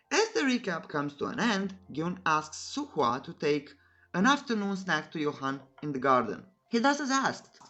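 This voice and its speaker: Recap narrator, mid-pitched and very animated, cheeky scripted storytelling with teasing pauses, music underneath.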